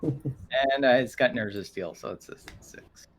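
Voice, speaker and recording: A man's voice: mid-range voice, a little slow. A man talking casually as a player in a tabletop role-playing game.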